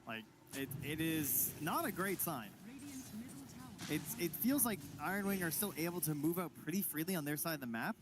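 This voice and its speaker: A man with a moderately high voice chats through a live esports match as the analyst on the casting duo. Noise is clearly audible behind him. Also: lively intonation, moderate pace. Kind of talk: conversational live game analysis.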